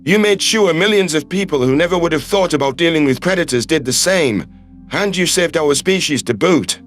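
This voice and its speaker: A man's voice: fairly high pitch, animated, normal speed, a bit bright, soft background music, pitched below the performer's own voice. A man voicing a devoted, reassuring human astronaut in love in an audio story. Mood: tender, reassuring. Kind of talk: audio story character dialogue.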